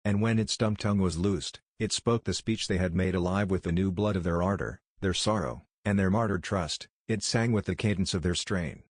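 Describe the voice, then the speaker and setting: A frail, ailing scholar pours out his visions in fervent, solemn, old-fashioned phrasing, read by a man. The voice sits very low and deep. It is recorded dry and close. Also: normal speed, hardly any pauses, no echo.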